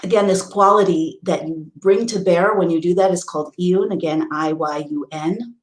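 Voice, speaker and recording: A woman with a low voice gives an earnest spiritual lesson, explaining ideas step by step and restarting phrases as she goes. The room around her rings with echo. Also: moderate pace. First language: English. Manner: earnest, explanatory, with many restarts and Hebrew readings. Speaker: teacher. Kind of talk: spiritual teaching talk.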